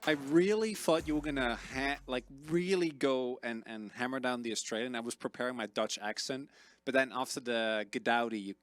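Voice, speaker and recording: A man's voice: medium pitch, normal speed. A young man giving casual esports analysis, rambling and correcting himself as he goes, with lots of fillers. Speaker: esports caster.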